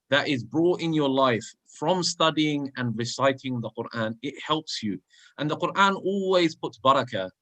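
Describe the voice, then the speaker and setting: A man hosts a live online programme for young people. His voice sits fairly high and has a bright, forceful edge. He talks in an encouraging, motivational way. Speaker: presenter of a children's religious programme. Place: live video call.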